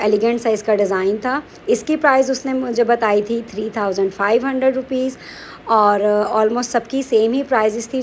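A woman with a Pakistani Urdu accent talks through a shopping vlog in a chatty, upbeat voice-over. Her voice sits slightly high, and she mixes English words into her Urdu. A faint hum of noise runs underneath. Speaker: vlogger.

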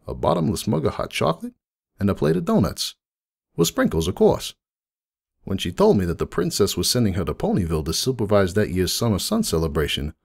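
A man's voice: on the low side, vocal fry, a little mellow, husky, heavily acted. A man voicing a friendly, nostalgic unicorn donut shop owner in a dramatic fan fiction reading, chatty and folksy like someone reminiscing.

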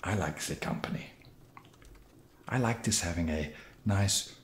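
A young man playing an eager vampire housemate with a put-on foreign vampire accent, soft-spoken, halting and deadpan in a comic ASMR roleplay. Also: low voice, echoey room, a little hiss or hum. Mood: awkwardly welcoming. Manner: halting, deadpan, awkwardly friendly. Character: awkward, eager male vampire housemate desperate to seem trendy.

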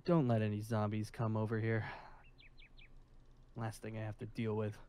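A man playing an officious, somewhat awkward leader of a survivor haven in an improvised zombie roleplay, speaking formally with hesitant pauses. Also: lowish voice, monotone, darkish tone, a little hiss or hum, lightly acted. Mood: awkwardly polite. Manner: formal and rule-minded, with hesitant pauses and sighs.

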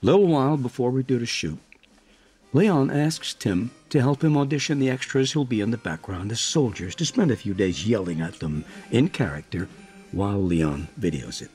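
An actor in a radio drama playing an exacting, domineering American film director who talks deliberately and keeps checking he is followed. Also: medium pitch, expressive, darkish tone, breathy edge, soft background music. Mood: measured, probing. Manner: deliberate, probing, punctuates with checking questions. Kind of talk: naturalistic radio drama acting.

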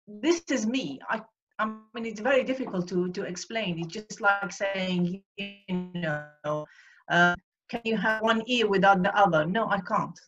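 A woman's voice: medium pitch, fairly bright tone. A woman in her sixties from Iraq, earnestly recalling her life as a guest on a video call, often searching for words. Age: 60s. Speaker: guest speaker. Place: home room over a video call. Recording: dull lo-fi video-call audio.

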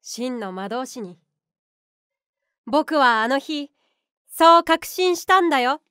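A woman's voice: high-pitched, expressive, takes it slowly, pushed and bright, clean tone, no room sound, heavily acted. A woman voicing a small boyish unicorn guardian beast in an anime-style voice drama, speaking as a composed, knowing mentor. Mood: calm, encouraging.

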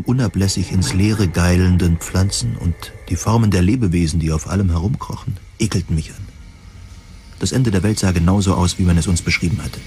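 Low, gravelly man narrating. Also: darkish tone. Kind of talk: first-person scripted audio drama narration, science fiction.

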